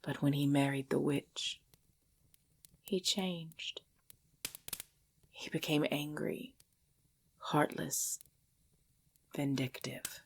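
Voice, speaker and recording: Breathy, hushed ancient vampire woman, played by a woman. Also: low-pitched, steady tone, full character voice. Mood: somber, bitter. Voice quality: breathy, often dropping into whisper. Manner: slow, formal, archaic phrasing, hushed. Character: ancient female vampire, possessive, tender, sorrowful lover. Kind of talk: ASMR roleplay, intimate scripted monologue.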